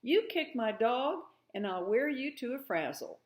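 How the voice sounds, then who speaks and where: A woman's voice: a little high, very animated, unhurried, voice pushed up. A woman voicing a fierce small boy who hollers insistently in a storybook reading. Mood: fighting mad. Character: fierce small boy defending his dog.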